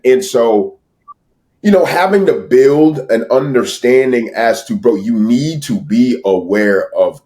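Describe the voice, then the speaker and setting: An American man speaks as a guest in a casual, motivational interview. His medium-pitched voice is emphatic, and he often repeats phrases for weight. His talk is slangy and familiar. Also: a little mellow. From United States. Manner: emphatic, repetitive, slangy, addresses listener as bro. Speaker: podcast guest, sales entrepreneur.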